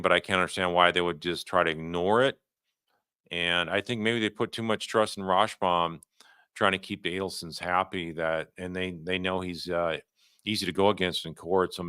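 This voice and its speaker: A man hosting a livestream, giving unscripted legal commentary and answering viewer questions in a halting, conversational way, often rephrasing himself. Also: low-pitched, bright, forceful tone, smooth, no room sound, studio quality.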